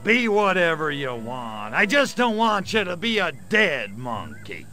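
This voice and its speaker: A man's voice: on the high side, very animated, unhurried, sharp tone, a little hiss or hum, full character voice. A man voicing a small, wisecracking grey alien invader in a video game, brash and sardonic, with an American accent. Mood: awkward, gruff. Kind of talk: video game cutscene acting.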